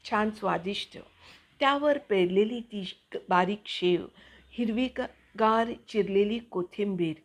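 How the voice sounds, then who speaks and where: A woman hosting her own channel, chatting to viewers in a casual, explanatory way with hesitant pauses as she finds her words. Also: medium pitch. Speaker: actor and video channel host.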